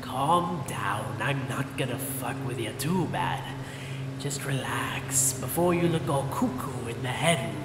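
A man voicing a mysterious, teasing entity inside someone's head in a fan audio drama, sly and drawn-out. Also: medium pitch, lively intonation, heavy background noise. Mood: sly, menacing. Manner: playfully taunting, sly, drawn-out. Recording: reverberant, echoing voice with loud background ambience.

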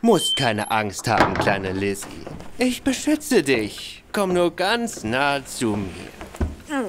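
Slurring, swooping drunken young gentleman. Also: medium pitch, huge pitch swings, slow pace, a little hiss or hum, full character voice. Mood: cocky, tipsy. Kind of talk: audio drama acting.

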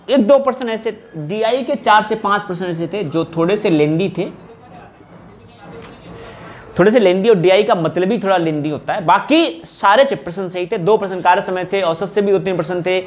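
A man gives an informal explanatory lecture as a coaching teacher, often repeating phrases for emphasis. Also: sits high. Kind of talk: informal exam analysis lecture to camera. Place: small indoor room, at a whiteboard. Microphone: wired lapel mic.

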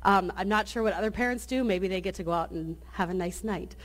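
A woman's voice: mid-range voice, a little fast. A woman in her thirties, a pastor giving friendly, inviting announcements and a blessing at a lectern mic in a church sanctuary. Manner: friendly, inviting, with many restarts. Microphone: lectern microphone.

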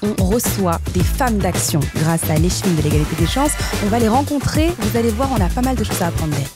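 A woman's voice: medium pitch, lively intonation, fairly quick, over loud music. A woman hosting an interview podcast, asking friendly questions with a few hesitant fillers. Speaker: podcast host. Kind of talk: hosted interview. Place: bright studio set. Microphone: studio mic on a boom arm.

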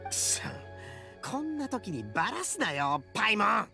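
Boastful young oni boss, game character, very swingy pitch, slow swagger, music behind. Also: male voice, big pitch swings, creaky, bright, forceful tone, exaggerated voice. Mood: indignant. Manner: swaggering, theatrical delivery.

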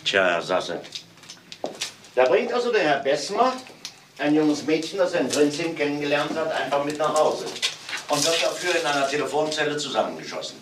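An actor playing a calm police inspector in a crime radio play, questioning insistently and deliberately. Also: male voice, a little high, distant mic, a little hiss or hum. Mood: probing. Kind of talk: dramatic radio play acting.

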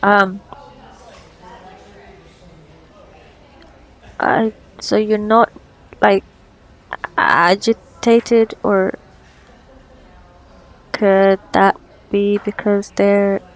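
A woman's voice: mid-range voice, little pitch movement, very slow, pauses often, poor audio. A woman playing an anxious, socially awkward young woman with a crush in an ASMR roleplay, stammering and rambling nervously. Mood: nervous, confused. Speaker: audio roleplay performer. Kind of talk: ASMR girlfriend roleplay acting.

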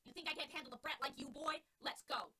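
A woman's voice: fairly high pitch, racing, pushed and bright, breathy edge, far from the mic, full character voice. A woman reading a story and voicing a scrappy girl catcher who is always looking for a fight. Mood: threatening. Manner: threatening.

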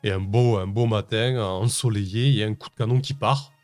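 A man's voice: fairly low pitch, fairly even intonation, quick pace, darkish tone, no echo, soft background music. A man narrating as game master of a tabletop game, hesitant, with many fillers and restarts.

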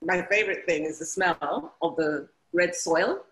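Low-voiced Nigerian woman on a video call. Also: a little slow, rough recording. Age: 50s. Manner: relaxed, laughs easily. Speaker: guest. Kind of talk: casual video call interview. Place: indoor room at home. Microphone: video call webcam.

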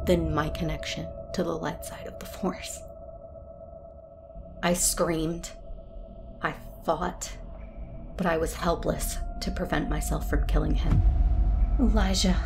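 A woman playing an anguished former Jedi Guardian trapped inside their own mind, narrating an audio drama with deliberate, dramatic intensity. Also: low voice. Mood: haunted. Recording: noisy ambient sound bed.

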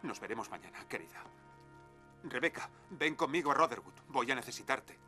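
A noble cartoon knight, firm and bright toned. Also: male voice, mid-range voice, lively intonation, a little fast, faint music behind. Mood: earnest. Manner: earnest, firm. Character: noble young knight Ivanhoe, brave and loyal. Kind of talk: cartoon dub acting.

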